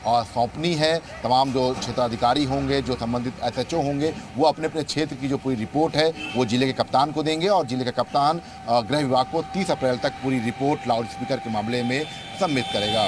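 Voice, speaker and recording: Male news reporter on a noisy street. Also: mid-range voice. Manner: measured, formal. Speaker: field reporter. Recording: clear street and traffic noise.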